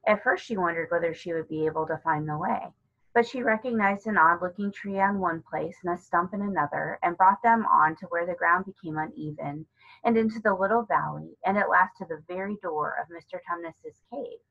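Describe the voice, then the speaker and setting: A woman narrating a children's novel as a read-aloud, with a husky voice. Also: lowish voice, brisk, a bit bright, lo-fi sound. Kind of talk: read-aloud storybook narration with character dialogue.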